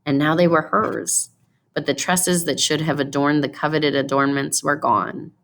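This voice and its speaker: A woman narrates a story aloud in an expressive, read-from-the-page style. Her voice is quite low for a woman and often drops into vocal fry. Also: moderate pace. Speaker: story reader.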